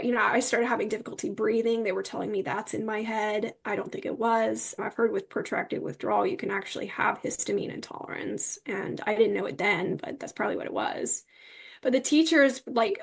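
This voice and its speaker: High-voiced young woman with creaky fry. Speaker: interview guest sharing personal experience. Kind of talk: personal storytelling in an interview.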